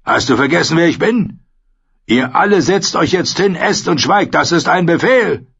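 A man's voice: on the low side, moderate pace, vocal fry, fairly bright tone, slightly rough, muffled recording, full character voice. A man voicing an old, stern military commander in an audiobook, commanding and indignant. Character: old, stern, authoritative male military commander, quick to anger.

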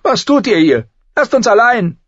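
Guy doing an angry scrappy little girl for a storybook, shouty, way up high, raspy edge. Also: big pitch swings, moderate pace, dry recording, lo-fi sound, full character voice. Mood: tearful fury. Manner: shouting, defiant.

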